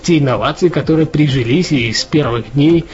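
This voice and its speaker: A man's voice: on the high side, a little fast, darkish tone. A man co-hosting a casual news podcast, talking in a chatty way with lots of fillers and restarts. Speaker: podcast co-host. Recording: dull lo-fi podcast audio with faint music.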